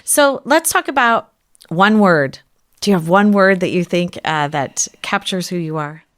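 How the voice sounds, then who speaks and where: A woman podcast host with a lowish, smooth, resonant voice guides the interview. She affirms her guest often with warm mm-hmms and yeahs. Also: animated, unhurried. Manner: warm, affirming, often says "mm-hmm" and "yeah". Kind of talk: interview hosting.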